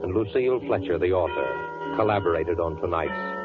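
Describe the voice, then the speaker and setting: Deep-voiced ominous radio narrator, male. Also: expressive. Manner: ominous, formal, deliberate. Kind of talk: 1940s radio suspense narration. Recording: muffled old radio broadcast, loud music.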